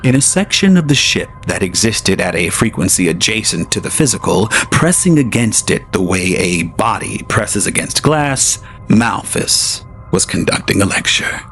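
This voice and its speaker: A man giving measured, dramatic scripted narration for an audio drama. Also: lowish voice, big pitch swings, fairly bright tone. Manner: measured storytelling with dramatic swings. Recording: narration over faint music.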